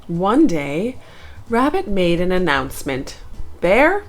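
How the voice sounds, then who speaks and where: Female children's read-aloud narrator, mid pitch, slow and lively, light music bed. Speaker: storybook narrator, channel host.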